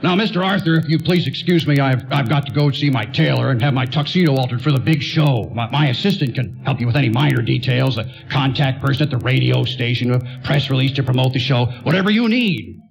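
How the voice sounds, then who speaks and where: Man playing a creaky-voiced, folksy pompous mayor. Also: mid-range voice, a little fast, few pauses, natural voice, poor audio. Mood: smugly firm. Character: pompous, folksy older male city mayor, smoothly pushy. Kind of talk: stage radio play acting.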